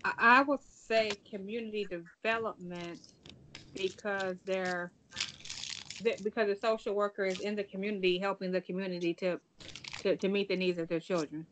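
A woman's voice: medium pitch, steady tone, normal speed, fairly bright tone, slight background noise, low-quality recording. A woman student in an online study group, answering a question hesitantly and reasoning aloud. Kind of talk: answering in class.

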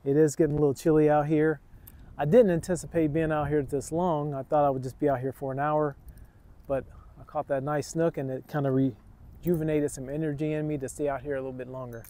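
A man's voice: a little high, level tone, fairly quick, dark tone. A middle-aged man hosting an outdoor fishing vlog, talking casually to his viewers from a waterfront dock. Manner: chatty, addresses viewers as guys. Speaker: fishing channel host. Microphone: camera on a tripod, sometimes selfie-held.

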